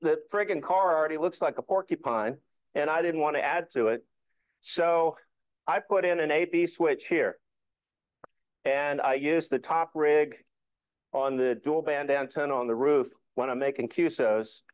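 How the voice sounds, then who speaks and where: A man's voice: fairly high pitch, unhurried, smooth, no room sound. A man presenting an informal slide talk to a hobby club, explaining things in a folksy, joking, hands-on way. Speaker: amateur radio club presenter.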